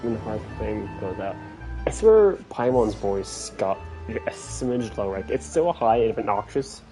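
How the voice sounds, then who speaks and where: A male game streamer with a dark, relaxed voice gives casual commentary. He muses hesitantly and dryly as he goes. Soft game music plays underneath. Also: lowish voice, unhurried, low-quality recording. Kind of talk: casual playthrough commentary, reading some lines aloud.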